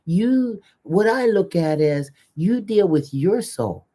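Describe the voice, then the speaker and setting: A woman's voice: mid-range voice, lively intonation, slow, dark tone. Older folksy woman with mid-range voice. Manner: folksy, colloquial, emphatic. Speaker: co-host of a live community chat show. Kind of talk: casual livestream chat.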